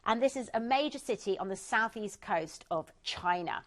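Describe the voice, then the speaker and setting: A woman's voice: mid-range voice, animated, moderate pace, a bit bright. A woman presenting cruise deals on a TV shopping channel, chatty and enthusiastic, talking through destinations with fillers. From England. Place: TV studio.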